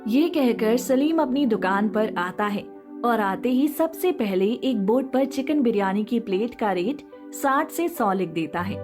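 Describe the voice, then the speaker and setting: A woman narrates a scripted moral story. Her voice sits on the high side, and music plays clearly behind her. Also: animated, unhurried.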